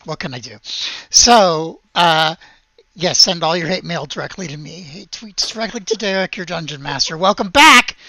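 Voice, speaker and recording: A woman's voice: fairly low pitch, bright, forceful tone, dry recording, lo-fi sound. A woman hosting a tabletop role-playing show in casual, chatty style. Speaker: host of an actual-play tabletop show.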